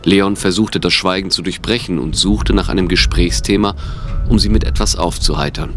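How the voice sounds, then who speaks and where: Deep-voiced man narrating, music underneath. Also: normal speed, a bit bright. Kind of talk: scripted audio drama narration.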